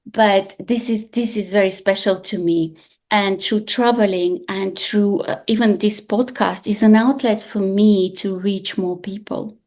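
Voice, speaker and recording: A woman in her late forties, speaking English at a middling pitch. She hosts a podcast in a relaxed, conversational manner and tells her stories with lively, animated energy. She often restarts her sentences as she talks. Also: a little slow. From Bulgaria. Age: 40s. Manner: expressive, animated storyteller with frequent restarts. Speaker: podcast host.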